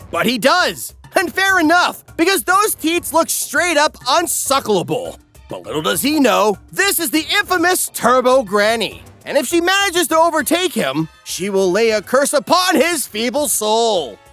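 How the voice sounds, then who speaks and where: A man's voice: very high voice, sharp tone. A man reading a scripted comedic anime recap as narrator, sardonic and theatrical. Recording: music behind.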